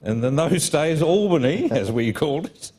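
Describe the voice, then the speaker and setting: Jokey New Zealand host, mellow voice. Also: male voice, fairly high pitch, expressive, normal speed. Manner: chatty, jokey, reminiscing. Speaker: panel host. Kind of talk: conversational stage interview. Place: conference stage.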